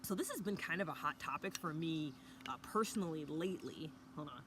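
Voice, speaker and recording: Soft-spoken woman vlogging outdoors. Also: sits low, lively intonation, a little fast. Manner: soft, hushed early-morning talk. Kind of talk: casual reflective talk to camera. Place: outdoors by a fire pit with a mountain view. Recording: outdoor ambience with background noise.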